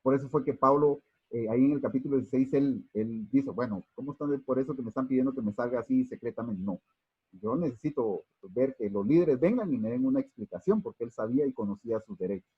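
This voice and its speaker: A man with a husky voice teaches a Bible class, halting often and restarting his sentences. He speaks warmly to his listeners as brothers. The recording is dry and a little dull. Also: medium pitch, dry recording. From Guatemala. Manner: hesitant, many fillers and restarts, addresses listeners as brothers. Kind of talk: unscripted Bible class lecture.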